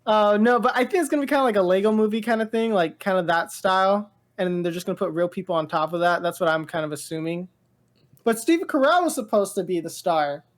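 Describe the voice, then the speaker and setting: Woman podcast co-host just chatting news, mid pitch, kinda baffled, slangy n halting. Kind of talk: casual pop-culture news chat.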